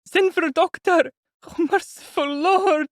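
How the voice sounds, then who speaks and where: A woman's voice: extremely high pitch, measured pace, dry recording, studio quality, voice pushed up. A man voicing an anxious young woman, urgently begging people for help in a storybook reading. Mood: pleading.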